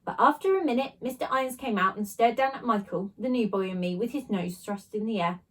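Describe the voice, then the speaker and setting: Female storyteller for children's book: fairly high, lightly husky, expressive read-aloud delivery. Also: brisk, poor audio. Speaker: teacher reading a story aloud.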